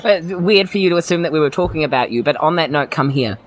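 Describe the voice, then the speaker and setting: A man's voice: on the high side, lively intonation, fast talker, highs cut off. A man improvising casually as a tabletop game player, dry and blunt.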